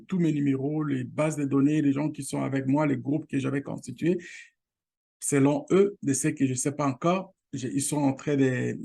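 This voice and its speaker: A man whose first language is Lingala, hosting a casual screen-share tutorial, halting and restarting often, speaking straight to his viewers. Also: on the high side, gravelly, dark tone. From Congo region, Lingala first language. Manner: halting, with many restarts and fillers; direct appeals to viewers. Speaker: online course channel host.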